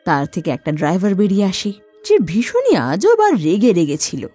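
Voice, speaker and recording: A woman narrates a scripted story with a very animated, swooping delivery. The voice sits close and dry, with soft music beneath. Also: medium pitch, normal speed, slightly rough, no echo.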